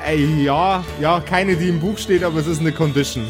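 A man's voice: fairly high pitch, a little fast, music in the background. A man narrating and explaining rules as game master of an improvised tabletop role-playing audio play.

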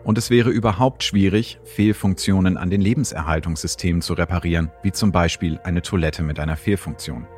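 A man's voice: low-pitched, a little fast, hardly any pauses, a little mellow. A man reading scripted documentary narration as the host. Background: background music.

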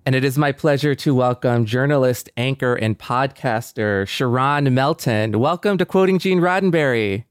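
A man's voice: mid-range voice, normal speed, hardly any pauses, clear, resonant tone, no echo. A man hosting a podcast, speaking in a conversational discussion style. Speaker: podcast host.

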